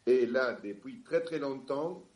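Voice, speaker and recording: A man from Argentina with an Argentine Spanish accent, speaking on a panel in French and often pausing to search for words. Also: medium pitch, lively intonation, a little mellow, roomy sound. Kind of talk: public discussion.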